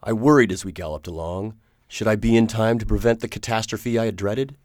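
An actor playing an earnest, determined gentleman hero in a radio drama, speaking gravely and formally. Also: on the low side, fairly quick, slight background noise, close to an everyday voice. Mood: grave, suspenseful. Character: earnest, determined gentleman hero recounting his perilous adventure. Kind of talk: radio drama narration and acting.

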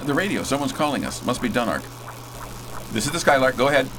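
An actor playing a folksy, bold starship pilot in a space opera radio drama, talking in breezy old-fashioned slang and giving orders. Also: male voice, very animated, fast, natural voice. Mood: easygoing. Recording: clearly noisy, engine rumble behind.

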